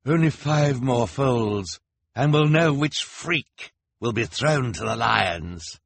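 A man voicing a vain, scheming male villain who talks like a theatrical showman, loud and gloating. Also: medium pitch, lively intonation, takes it slowly, vocal fry, slightly rough, dry recording, voice pushed up, heavily acted.

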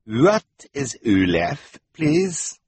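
Guy putting on a sly storybook villain, fake polite, broken english, husky, says please a lot. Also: medium pitch, big pitch swings, drawn-out words, darkish tone, no echo, voice pushed up, heavily acted. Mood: oily, menacing. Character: treacherous villain Gunter, oily fake foreigner feigning politeness.

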